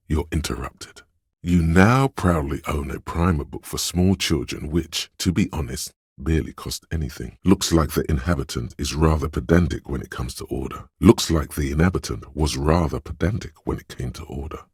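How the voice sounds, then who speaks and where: A man voicing a slick, suave inner voice that narrates a game in the second person, measured and wryly theatrical. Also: a little mellow, no echo, studio quality, exaggerated voice. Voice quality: very deep, husky.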